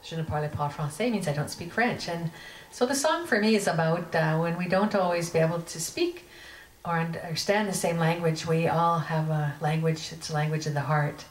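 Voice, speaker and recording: A woman's voice: low-pitched, moderate pace, a little hiss or hum. A woman singer-songwriter chatting easygoingly between songs at a live outdoor show, reflecting on her music. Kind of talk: between-song stage banter. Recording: echoey live PA sound from far off with loud band music.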